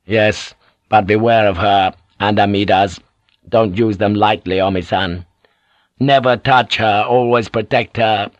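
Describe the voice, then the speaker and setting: Audiobook samurai lord, older man as acted by a male reader: low, fierce, scheming, used to being obeyed. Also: fairly even intonation, unhurried, no echo, muffled recording, close to an everyday voice. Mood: stern, urgent. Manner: imperious, emphatic, giving orders. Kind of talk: audiobook character acting.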